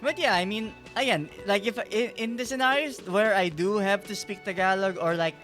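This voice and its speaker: High-voiced Filipino streamer, chatty. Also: male voice, moderate pace, a bit bright, soft background music. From Philippines. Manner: chatty, rambling, self-deprecating, laughs a lot. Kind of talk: casual game-stream chat.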